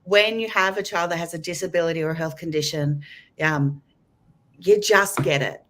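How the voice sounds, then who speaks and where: A woman's voice: lowish voice, moderate pace, a bit bright, a little hiss or hum. A woman from Australia, a chatty and slightly flustered panelist in a casual discussion.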